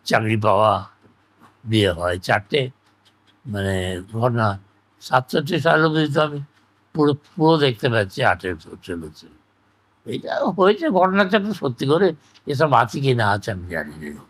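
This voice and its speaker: An older man, reminiscing in conversation as an interview guest, measured and speaking in short broken phrases. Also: mid-range voice, very animated. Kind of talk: reflective conversational recollection.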